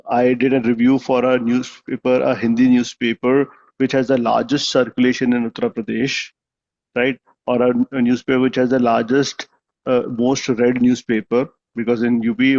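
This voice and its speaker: Gravelly man giving meeting feedback. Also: mid-range voice, a little mellow, dull audio. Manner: conversational, many restarts and "you know" fillers. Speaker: meeting evaluator. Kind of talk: spoken feedback at a club meeting.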